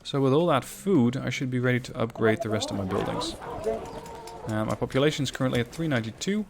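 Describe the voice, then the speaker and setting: A man's voice: medium pitch, fast. A man giving casual, explanatory let's play commentary, thinking aloud as he goes. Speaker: gaming YouTuber. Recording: clear game noise behind commentary. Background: video game sounds.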